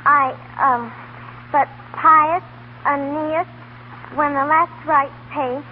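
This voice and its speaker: A woman's voice: high voice, fairly even intonation, takes it slowly. An actress playing a flustered, obedient schoolgirl in a 1930s radio drama, hesitant and faltering. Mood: nervous. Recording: tinny, hissy, echoing 1930s radio broadcast.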